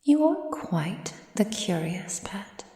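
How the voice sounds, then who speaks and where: A woman playing an ancient, proud, possessive aristocratic vampire in a soft, breathy ASMR roleplay with formal, measured delivery. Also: medium pitch, sing-song. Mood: indulgent, measured. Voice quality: breathy, soft, often near whisper. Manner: slow, formal, measured, imperious. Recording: roomy sound with long reverb.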